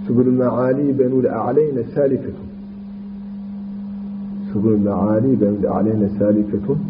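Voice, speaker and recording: A man teaching a classical grammar lesson, explaining slowly with restarts and repetitions and chanting verse lines. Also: fairly low pitch. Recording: tinny phone-like line with background hum.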